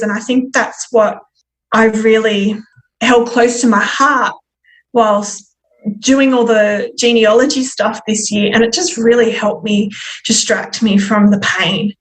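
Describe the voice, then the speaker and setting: A woman guest telling her personal story in conversation, reflective and candid, with a halting delivery. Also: on the high side, poor audio. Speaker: podcast guest.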